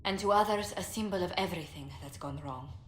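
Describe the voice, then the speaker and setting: An actress playing a poised, tactful advisor in a fantasy video game, speaking politely and with measured diplomacy. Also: female voice, on the low side, natural voice. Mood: earnest. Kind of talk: video game cutscene acting. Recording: roomy echo, noisy ambience.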